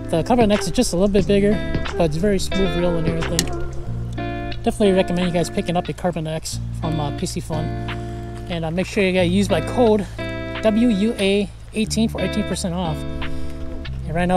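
A man's voice: sits high, a little fast. A man chats casually from a small boat on an open river, recording on an action camera. He laughs easily and fills his sentences with ums and uhs. Music runs underneath and the outdoor air is audible. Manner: easygoing, laughs often, thinks aloud with fillers. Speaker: fishing channel host. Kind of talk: casual fishing vlog chat.

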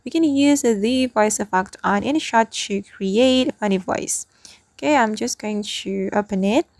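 Woman, tutorial host, medium pitch, dry close voice-over, step-by-step narration. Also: a little slow, a little mellow, no echo. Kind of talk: scripted app tutorial narration.

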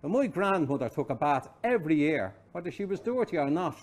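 A middle-aged man with a Dublin accent reads a short story aloud. His voice sits a little high and moves animatedly up and down. He phrases things deliberately and wryly, like a practised storyteller. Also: sing-song. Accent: Dublin Irish. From Dublin, Ireland. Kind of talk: expressive literary reading, storytelling. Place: small wood-panelled pub snug, indoors.

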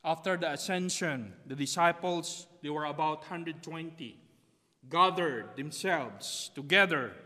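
A man's voice: sits high, bright, forceful tone. A middle-aged man from the Philippines with a Filipino accent, preaching a sermon from a church pulpit and repeating key phrases. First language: Tagalog. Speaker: pastor. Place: empty church sanctuary behind a pulpit. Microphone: pulpit mic on a stand, far camera. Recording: long reverb of a church hall.

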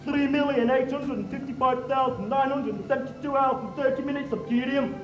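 An actor playing a gruff older male trade union steward, blustering and indignant. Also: extremely high pitch, brisk. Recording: echoey, dull, music behind.

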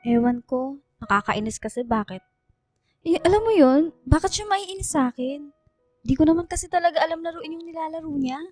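A young Filipina girlfriend with a high voice. She sounds meek and hurt, questioning in a hesitant, pouty way. It is radio drama with music behind. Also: animated, a little fast, darkish tone, no room sound. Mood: frustrated.

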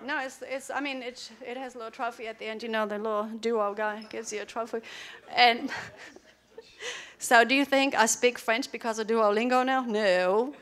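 A woman's voice: on the high side, steady tone, fairly bright tone. A woman whose first language is German, giving a chatty conference workshop to teachers in a large lecture hall, quizzing the audience and laughing between points. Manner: chatty, laughing asides, quick questions to the audience.

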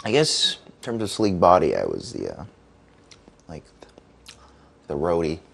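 A man's voice: deep voice, wildly swooping pitch, unhurried, creaky, husky, heavily acted. A man playing an awkward, reluctant band roadie, talking hesitantly and casually in a mockumentary interview. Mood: sheepish, awkward.

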